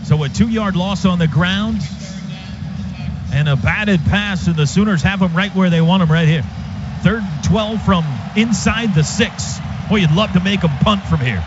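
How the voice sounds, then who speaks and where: A man's voice: high-pitched, normal speed. A man doing live radio play-by-play of American football in clipped, descriptive phrases. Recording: dull radio broadcast with clear background noise.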